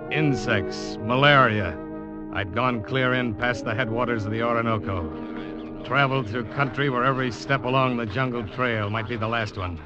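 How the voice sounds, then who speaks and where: A man's voice: medium pitch, close to an everyday voice. An American actor playing a tense, determined young adventurer, telling his tale dramatically in an old radio drama. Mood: bitter. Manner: dramatic, deliberate storytelling. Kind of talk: old-time radio drama acting, first-person narration. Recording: muffled old radio recording.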